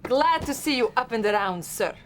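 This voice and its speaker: A woman's voice: on the high side, expressive, normal speed, slight background noise, natural voice. An actress playing a dutiful female Soviet military aide, respectful and businesslike, in a video game cutscene.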